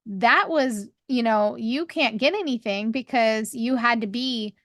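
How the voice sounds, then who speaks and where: A woman with a higher voice, co-hosting a podcast and talking openly in a rambling, candid way. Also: unhurried, fairly bright tone, no echo, clean studio sound. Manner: rambling, restarts, laughs often. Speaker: podcast co-host. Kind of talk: candid conversational chat.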